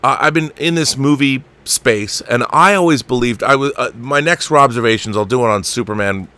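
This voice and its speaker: A man in his fifties chatting casually about films as a show host, excitable and emphatic, with some swearing. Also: mid-range voice, normal speed. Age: 50s. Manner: profane. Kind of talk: casual film chat.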